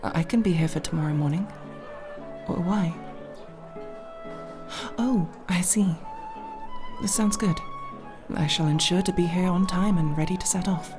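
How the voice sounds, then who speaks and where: Casting: actress as young female elf thief for audio roleplay. Slightly low register, formal and proper diction, anxious yet proud, music underneath. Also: measured pace, pauses often, close to an everyday voice. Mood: polite, flustered. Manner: formal, wordy, prim diction with hesitations. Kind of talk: ASMR roleplay acting.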